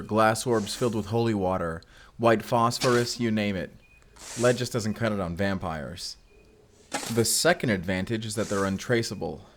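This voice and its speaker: A man's voice: fairly low pitch, a little hiss or hum, natural voice. A man acting a mysterious vampire slayer, earnest and wryly awkward, in an intimate audio roleplay with casual, confiding delivery. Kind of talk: cinematic audio roleplay acting, intimate one-sided dialogue.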